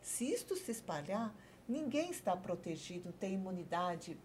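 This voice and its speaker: A woman doctor, a guest on a TV health talk show, explaining calmly and pausing to search for her words. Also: medium pitch, slight background noise. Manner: measured, searching for words, many fillers.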